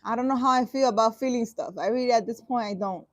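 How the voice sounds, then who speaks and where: Sarcastic, exasperated woman with a high voice. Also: no echo. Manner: halting, restarting sentences. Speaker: spiritual vlogger. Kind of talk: reading a dream interpretation aloud and commenting.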